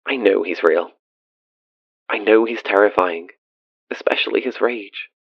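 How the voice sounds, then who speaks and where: A dull, dry recording of a haunted, tender father in an audio drama. His voice is low and gravelly, and he tells his ghost story in a hushed, wistful confession. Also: a little slow, no echo. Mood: wistful, reflective. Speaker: caller to a radio show.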